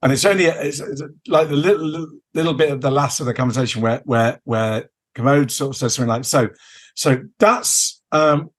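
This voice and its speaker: A man's voice: mid-range voice, normal speed. Hesitant man in casual film chat. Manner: hesitant, meandering, searching for words. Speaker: podcast co-host. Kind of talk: casual chat about films.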